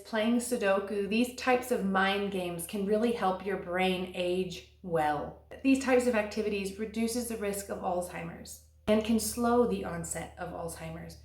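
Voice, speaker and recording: Earnest woman in an echoey room. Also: mid-range voice. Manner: earnest and encouraging, slows to stress key words. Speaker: vlogger and stay-at-home mom. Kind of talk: scripted advice talk to camera.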